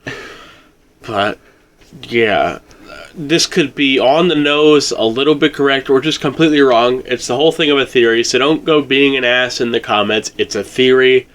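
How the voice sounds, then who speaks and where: A man's voice: mid-range voice, moderate pace, a bit bright. A man co-hosting a casual discussion, agreeing with and backing up his partner. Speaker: co-host discussing video game theories. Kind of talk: casual chat.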